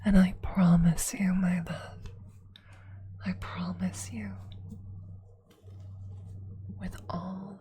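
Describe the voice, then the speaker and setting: A woman voicing a tender, possessive female vampire lover in an ASMR roleplay, speaking in a slow, soothing, intimate breathy whisper with lingering pauses. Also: lowish voice, flat delivery, mellow, a little hiss or hum. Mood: devoted, tender.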